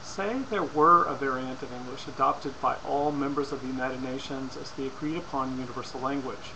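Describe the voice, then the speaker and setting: A man reading a scripted persuasive lecture in a formal, deliberate way, occasionally stumbling over words. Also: mid-range voice. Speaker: presenter. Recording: clearly noisy amateur recording.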